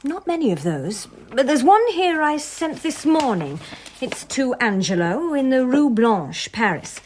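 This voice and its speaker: A woman's voice: high-pitched, sing-song, measured pace, a little mellow, slight background noise. A woman playing a nosy, gossipy French village postmistress in a radio drama, eager, chatty and confiding.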